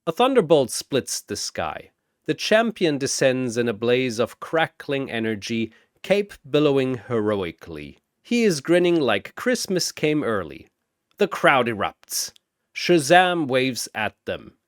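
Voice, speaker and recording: A man narrating a fantasy battle in a bombastic, theatrical style with drawn-out emphasis, like an arena announcer. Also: mid-range voice, big pitch swings, no room sound, clean studio sound.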